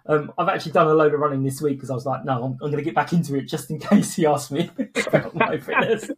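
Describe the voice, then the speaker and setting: A male podcast host with a slightly higher-pitched voice. He chats casually and jokes at his own expense, keeping the interview informal. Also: quick pace, background noise. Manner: jokey, self-deprecating, chuckling.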